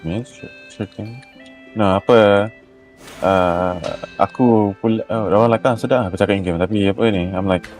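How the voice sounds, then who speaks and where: Low-voiced young Malaysian man gaming on stream. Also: moderate pace. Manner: excitable, slangy, mixes English and Malay. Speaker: game streamer. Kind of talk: casual game stream chat with live commentary. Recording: game music behind the voice. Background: video game music.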